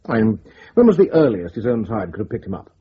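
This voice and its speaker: An actor playing an unruffled senior spymaster in a radio drama, reasoning with calm authority. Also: male voice, fairly low pitch, fast, slightly rough, full character voice. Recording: dull lo-fi recording.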